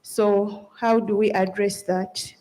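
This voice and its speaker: A woman's voice: mid-range voice, flat delivery, takes it slowly, mellow, low-quality recording. A young-sounding woman from Uganda, a community liaison officer, politely asking a question from the floor of a conference. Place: conference hall.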